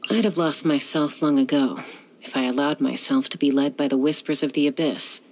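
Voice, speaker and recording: A woman's voice: sits low, brisk, darkish tone, low-quality recording. A young woman voicing a self-assured, dryly teasing gunslinger who flies and settles disputes, in video game voice lines. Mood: cool. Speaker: game character.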